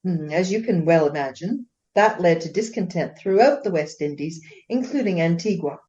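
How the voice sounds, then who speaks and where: A woman playing a proud, indignant Regency gentlewoman in a radio play, speaking formally and emphatically in defence of her husband. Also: on the low side, lively intonation, moderate pace, dull audio, close to an everyday voice. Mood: guarded, formal.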